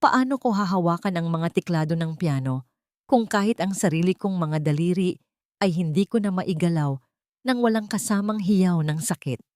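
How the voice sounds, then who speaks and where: A woman's voice: on the low side, animated, moderate pace, a little mellow, dry recording, close to an everyday voice. Lady doing a frail old mama, hurt and pleading, weak delivery, dry audio story recording. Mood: anguished. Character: frail, hurt elderly mother, humiliated but pleading.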